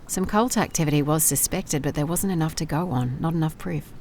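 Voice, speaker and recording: A woman's voice: low-pitched, fairly quick, a little mellow, slight background noise. A woman playing a wry, methodical private investigator in an audio drama, musing and dictating case notes in a dry manner. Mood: puzzled. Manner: dry, musing, clipped note-taking. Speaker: lead actor in audio drama. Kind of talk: first-person audio drama case log.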